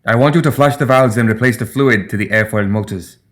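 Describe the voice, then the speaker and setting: A man's voice: medium pitch, a little fast, fairly bright tone, lightly acted. A man performs an old scientist in an audiobook story. He is demanding and vigorous, issuing precise, curt instructions. Every phrase is exacting. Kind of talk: dramatized audiobook character voice.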